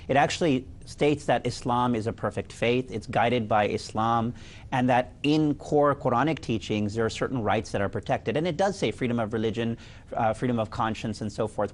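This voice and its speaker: Male legal expert on a panel, mid-range voice, formal and articulate explainer. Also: mid-range voice. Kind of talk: explanatory discussion.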